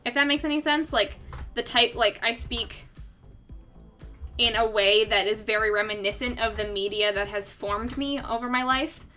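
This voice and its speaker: A woman's voice: fairly high pitch, moderate pace, bright, forceful tone. A young woman streaming her art, chatting casually with viewers in a rambling way, full of fillers and frequent laughter. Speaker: artist streamer. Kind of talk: casual livestream chat with viewers. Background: faint background music.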